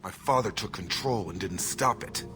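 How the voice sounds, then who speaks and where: A very low-voiced actor as a brooding soldier from a video game. He is grim and plain-spoken, speaking his scripted lines over loud music. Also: moderate pace, close to an everyday voice. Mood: grim, troubled. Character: grim soldier confronting his father's crimes.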